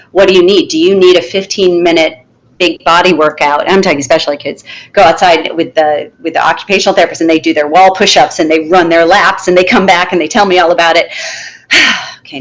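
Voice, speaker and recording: Firm, lively low-voiced woman teacher. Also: poor audio. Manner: firm, animated, acts out classroom lines. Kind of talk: conversational interview.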